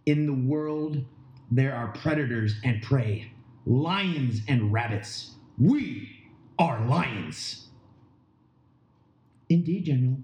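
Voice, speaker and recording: A man's voice: mid-range voice, animated, slow, pauses often, darkish tone. A man playing a pompous, menacing Assyrian ruler in an amateur audio drama, speaking in a grandiose, declamatory way. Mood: menacing, haughty. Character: pompous, menacing male Assyrian ruler rallying his forces. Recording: echoey room.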